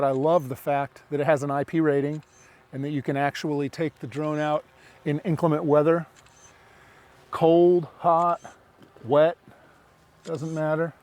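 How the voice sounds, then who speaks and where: A man's voice: fairly high pitch, darkish tone. A middle-aged man giving casual running commentary as he hosts a gear demonstration outdoors. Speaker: drone pilot and video host. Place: outdoors in open dry hills.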